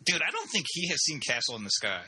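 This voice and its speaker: A man with a very bright, slightly husky voice and lively swings in pitch. He chats casually, eager and opinionated. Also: fast talker. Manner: enthusiastic, opinionated, says "dude". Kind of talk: casual chat.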